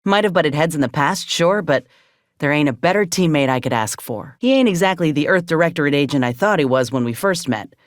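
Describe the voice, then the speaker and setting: A woman's voice: low-pitched, dry recording, clean studio sound. A woman playing an earnest, loyal frontier soldier in a video game, speaking plainly and with measure. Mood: reflective, fond. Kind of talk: video game companion dialogue.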